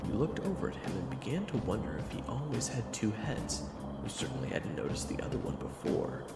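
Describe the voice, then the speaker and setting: Low, animated male story narrator. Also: fairly quick, vocal fry. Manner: theatrical, often hushed. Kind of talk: dramatic scripted second-person narration. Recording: roomy sound under loud music. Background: music.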